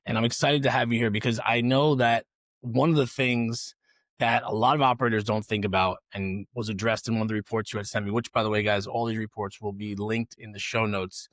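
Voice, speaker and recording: A man's voice: fairly low pitch, steady tone, brisk, fairly bright tone, no room sound. Chatty male podcast host, dry close mic. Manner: upbeat, chatty, explains things to listeners. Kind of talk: casual interview and ad read.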